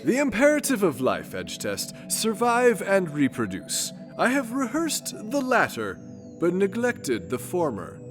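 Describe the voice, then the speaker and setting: A pompous, theatrical space lord. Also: male voice, sits high, huge pitch swings, measured pace, in a large hall, over music, exaggerated voice. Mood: haughty. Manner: pompous, florid, theatrical diction. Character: pompous, self-important space adventurer lord, callous and paranoid. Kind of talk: comedic sci-fi audio drama acting.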